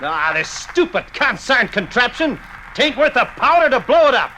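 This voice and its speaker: High, cranky rural American old-timer. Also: male voice, sing-song, normal speed, a faint crowd, exaggerated voice. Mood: exasperated. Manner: shouting, exasperated, folksy. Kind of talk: 1950s radio acting. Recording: noisy vintage radio recording.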